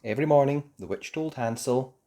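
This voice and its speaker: A storyteller reading a children's fairy tale aloud in an expressive, emphatic, theatrical way for young listeners. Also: mid-range voice, brisk. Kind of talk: expressive scripted storybook narration.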